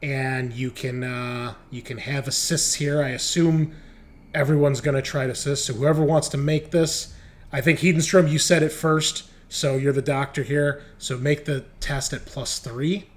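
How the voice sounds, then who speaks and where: A man's voice: mid-range voice, fairly even intonation, normal speed. A man running a tabletop roleplaying game, improvising descriptive narration and calling out rules. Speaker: game master.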